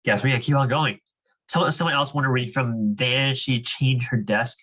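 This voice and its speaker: A man's voice: mid-range voice, moderate pace, a bit bright. An encouraging, informal man leading a reading club, reading aloud and chatting with students. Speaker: reading club leader and coach.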